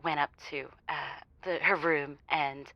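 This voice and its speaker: A smitten actress playing a female phone-in caller with a lowish voice. She confides in a hesitant, giggly way and wanders off on tangents. The sound is dry and a little dull. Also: fairly even intonation, a little slow, no room sound. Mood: giggly, confiding. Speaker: caller to a radio show. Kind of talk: audio comedy drama, casual confiding chat.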